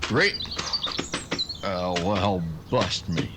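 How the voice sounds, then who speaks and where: Folksy genial cowboy ranger, a guy acting, unhurried, radio western, clear noise behind him. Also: low voice. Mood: friendly. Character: easygoing, folksy undercover Texas Ranger, a man.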